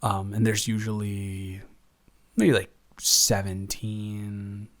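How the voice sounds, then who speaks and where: A young man playing a devoted, flustered roommate in an intimate, improvised audio roleplay, speaking in a halting, rambling, affectionate and teasing way. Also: on the low side, little pitch movement. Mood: calm, chatty.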